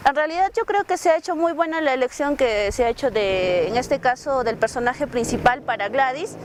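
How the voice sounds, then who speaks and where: A woman with a Peruvian accent gives an explanatory interview answer in a high voice. She leans on repeated filler phrases, and light noise sits behind her. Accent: Peruvian Spanish.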